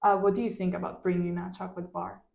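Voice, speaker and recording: A woman in her twenties, a native Spanish speaker, giving steady, thoughtful answers in a practice speaking exam. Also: on the low side, fast talker, vocal fry. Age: 20s. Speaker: student, exam candidate. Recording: echoey room.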